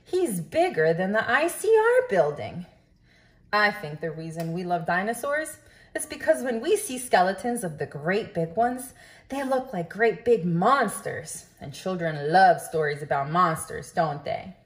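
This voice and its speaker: Woman doing a kids book read-aloud, takes it slow, voice goes up and down a lot. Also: fairly low pitch. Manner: deliberate, expressive, addressing children with questions. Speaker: storybook reader. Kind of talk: children's read-aloud narration.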